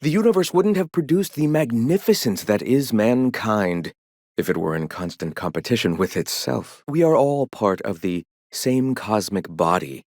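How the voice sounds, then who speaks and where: An actor playing a pompous, serene cult leader in a video game, speaking in a lofty, theatrical, preacherly way with formal diction. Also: male voice, fairly low pitch, lively intonation, normal speed, a little mellow, dry recording, studio quality. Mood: serene, sermonizing. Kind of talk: video game character dialogue acting.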